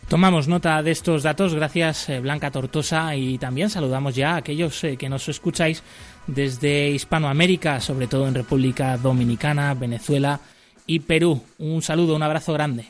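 Male radio presenter, slightly high-pitched, speaking over a music bed. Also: fairly even intonation, moderate pace. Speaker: radio host.

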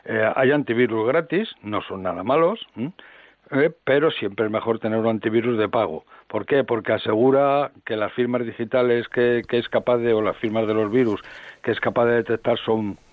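An older man, a general, appears as the guest expert on a radio show. He explains in a measured, didactic way, adding small tag questions. His mid-pitched voice comes over a dry, phone-like line. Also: no echo.

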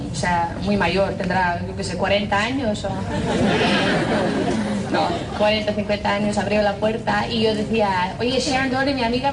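A woman from Northern Ireland with an Irish accent and English as her first language, giving a humorous, self-mocking testimony and acting out the quotes in a raised voice. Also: a little high. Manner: lively storyteller who acts out quotes in a raised voice, self-mocking. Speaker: speaker giving a personal testimony. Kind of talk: humorous testimony talk to an audience. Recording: distant mic with audience and noise behind.

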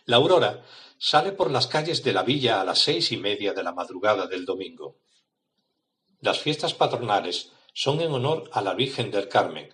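A man reading a scripted report on a town's festivals for a radio program, in an even, informative way. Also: lowish voice. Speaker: radio contributor. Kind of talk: scripted local-history narration.

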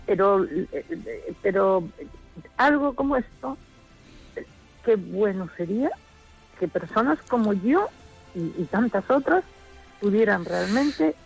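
A woman's voice: medium pitch, expressive, slow, vocal fry, long pauses, breathy edge, soft background music. A woman from Chile calling in to a religious radio show, talking in an enthusiastic and rambling way. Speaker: caller. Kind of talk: phone-in call. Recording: muffled phone-line call on radio.

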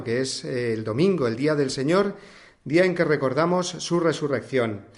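Spanish man hosting a Sunday radio show. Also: fairly high pitch. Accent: Spain Spanish. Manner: measured, warm welcoming tone with frequent "eh" fillers. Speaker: radio host.

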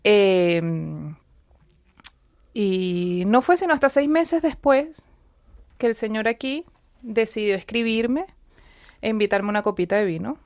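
An earnest woman tells her own story as a guest on a radio program. Her voice sits at an ordinary pitch and comes across dry and close, with no room around it. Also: lively intonation, takes it slowly, pauses often, a little mellow, dry recording. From Venezuela. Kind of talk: personal testimony in a radio interview. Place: radio studio.

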